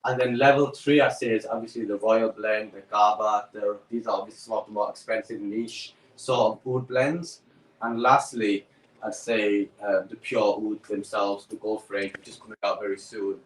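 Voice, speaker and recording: Male guest, lower voice, hesitant delivery, distant echoey remote call. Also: steady tone, moderate pace. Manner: hesitant, many fillers and repeats. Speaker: perfume business owner. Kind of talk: guest answering interview questions.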